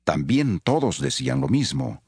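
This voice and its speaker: Very deep, expressive male narrator. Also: moderate pace, gravelly, slightly rough, dry recording, studio quality. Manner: solemn storytelling. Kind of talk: scripted scripture narration.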